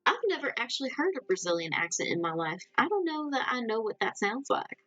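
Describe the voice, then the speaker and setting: A woman's voice: on the high side, big pitch swings, fairly quick, bright, forceful tone, no room sound, highs cut off. A woman with a mild Southern American accent, chatting loosely with her live audience, rambling and laughing often. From Southern United States. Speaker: livestream host. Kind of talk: casual chat with a live audience.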